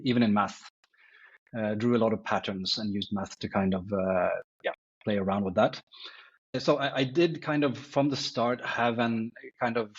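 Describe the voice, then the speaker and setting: A husky, breathy-voiced Norwegian man in his forties talks through his life story as a guest, halting and restarting his sentences. The recording is dull and lo-fi. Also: medium pitch. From Norway. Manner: hesitant, many restarts and fillers. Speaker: guest artist. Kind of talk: casual interview answers.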